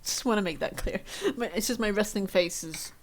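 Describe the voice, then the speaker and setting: A woman's voice: mid-range voice, brisk. A young woman streaming from home, chatting casually and rambling in a self-deprecating way. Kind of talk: casual chat to stream viewers. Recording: faint music behind.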